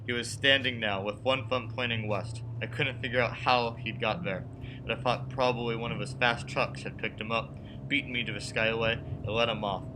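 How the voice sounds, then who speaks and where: An actor playing a haunted man in his thirties from Brooklyn, narrating a radio play in earnest, deliberate phrases. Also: medium pitch, steady tone, noisy background, lightly acted. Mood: uneasy. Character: haunted 36-year-old man from Brooklyn, anxious but insisting he is sane. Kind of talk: radio play acting, first-person dramatic narration. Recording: music behind the voice.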